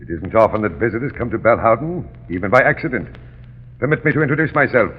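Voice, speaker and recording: Courtly, animated castle host. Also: male voice, mid-range voice, fast talker, breathy edge, narrow, tinny audio. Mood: gracious. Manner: formal, gracious, theatrical. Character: courtly, ominous uncle hosting in haunted castle. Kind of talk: 1930s radio drama acting. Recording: noisy, reverberant 1930s radio recording.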